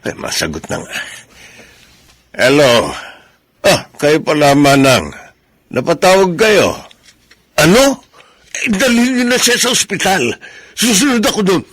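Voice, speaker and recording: Husky, caring older father. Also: high voice, very animated, measured pace, pauses often, fairly bright tone, dry recording, exaggerated voice. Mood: alarmed. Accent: Filipino. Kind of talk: Filipino radio drama acting.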